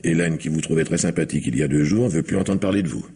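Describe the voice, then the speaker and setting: An actor playing a self-satisfied middle-aged factory owner in a French radio drama, gravelly and husky, patronising yet hesitant. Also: male voice, very deep voice, racing, warm tone, full character voice. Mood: condescending. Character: self-satisfied factory owner pushing out his brother's household.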